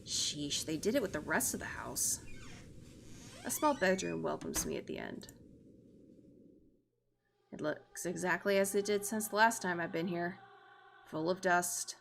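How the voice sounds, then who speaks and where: Expressive, low-voiced woman streaming a game. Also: measured pace, gravelly, pauses often, a little hiss or hum. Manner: expressive, theatrical narration with joking asides. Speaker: streamer, virtual YouTuber. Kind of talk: reading a visual novel aloud with playful commentary.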